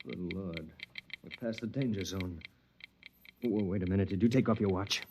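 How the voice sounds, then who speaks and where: An actor playing a domineering, fearful, scolding husband in a 1950s radio drama, harsh and urgent in manner. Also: male voice, deep voice, moderate pace. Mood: anxious dismay. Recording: faintly hissy vintage radio recording.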